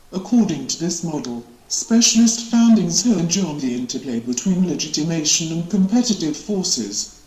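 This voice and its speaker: Man, fairly high voice, formal read-aloud, academic text, reverberant room. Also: darkish tone. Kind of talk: reading academic passage aloud. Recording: echoey room.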